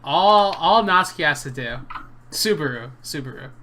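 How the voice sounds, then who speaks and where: A man's voice: a little high, animated, moderate pace, bright, forceful tone, a little hiss or hum. A young man hosting a casual, joking anime debate, rambling and restarting as he talks. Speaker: channel host.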